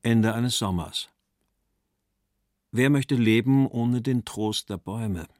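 Slow, solemn male literary reader. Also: mid-range voice, no room sound. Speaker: reader of quotations. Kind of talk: literary recitation of poetry and letters.

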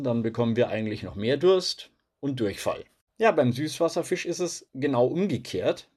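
A husky-voiced man, a biologist, explaining things to children in a plain, patient way. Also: mid-range voice, darkish tone. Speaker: biologist and fish expert.

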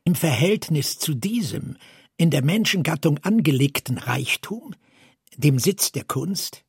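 A man's voice: fairly high pitch, animated, a little slow, slightly rough, no echo, studio quality. A man reading literary prose and quotations aloud as a co-reader.

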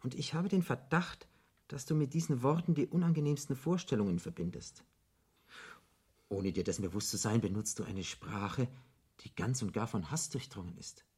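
An actor playing an eloquent devil in a radio drama, arguing in emphatic, elaborate rhetoric as a persuasive tempter. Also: male voice, medium pitch, expressive, normal speed, dark tone, husky. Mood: silky, insistent, then indignant, lurid.

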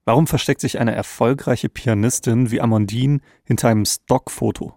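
Male podcast co-host with noticeable vocal fry, mid pitch, telling a scripted story conversationally. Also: steady tone, moderate pace, a little mellow, dry recording, clean studio sound. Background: faint background music.

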